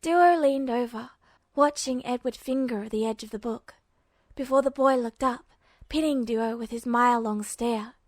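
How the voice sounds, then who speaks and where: A woman's voice: on the high side, normal speed, dry recording, clean studio sound. A woman reading scripted fiction as a narrator.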